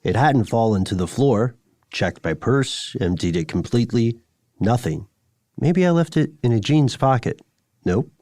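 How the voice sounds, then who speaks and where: A man co-hosting a podcast in a conversational way, with measured, deliberate phrasing, presenting and reading listener letters. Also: mid-range voice, expressive, a little mellow, dry recording, clean studio sound. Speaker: podcast co-host.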